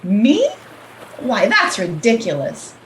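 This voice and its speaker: A woman playing a jealous, betrayed lover in a radio play, shrill and melodramatic. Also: wildly swooping pitch, slow pace, heavily acted. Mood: indignant. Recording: roomy, clearly noisy.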